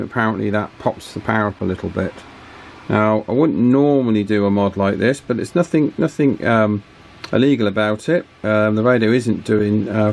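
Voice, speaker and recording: A man giving a hands-on repair tutorial at a workbench in an informal, matter-of-fact way with hesitant pauses. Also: lowish voice, vocal fry, darkish tone. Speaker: radio repair technician and presenter. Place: indoors at an electronics workbench.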